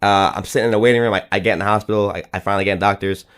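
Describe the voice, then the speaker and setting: A man's voice: fairly low pitch, fairly even intonation, rapid-fire, a bit bright, smooth. A man co-hosting a podcast, chatting and telling anecdotes in a blunt, slangy, profane way. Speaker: podcast host. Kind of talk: casual chat and anecdote.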